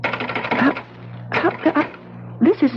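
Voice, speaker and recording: A woman playing a polite, easily flustered real estate agent in a 1970s radio mystery, with a hesitant delivery. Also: extremely slow. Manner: stammering, hesitant. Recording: muffled, noisy 1970s radio recording.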